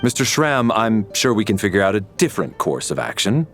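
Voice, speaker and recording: An actor playing a composed, principled military man with a kind streak, measured and formal, in a game dub. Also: fairly low pitch, big pitch swings. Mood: concerned. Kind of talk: English game dub voice acting. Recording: music under the voice.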